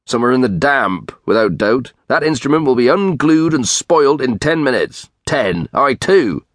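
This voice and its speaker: A man's voice: mid-range voice, big pitch swings, normal speed, dry recording, clean studio sound, voice pushed up, close to an everyday voice. A man voicing a hearty, exasperated middle-aged tranter with a rural West Country English accent and a sonorous, emphatic delivery. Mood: indignant grumbling. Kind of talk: audiobook character voice.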